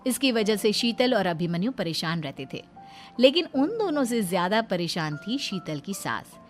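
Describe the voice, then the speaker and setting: A woman's voice: mid-range voice, big pitch swings, moderate pace, faint music behind. A woman narrating a scripted family story as a storyteller.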